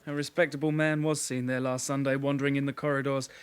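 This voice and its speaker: An actor playing a polite young British detective constable in a radio drama, with a slightly hesitant, enquiring manner. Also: male voice, medium pitch, level tone, fast talker, clean tone, natural voice. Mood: easygoing. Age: young adult.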